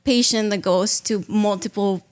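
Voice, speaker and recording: Peruvian woman in her thirties, mid-pitched. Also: fairly even intonation, unhurried. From Peru. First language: Spanish. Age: 30s. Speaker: podcast guest, patient. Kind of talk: casual personal account.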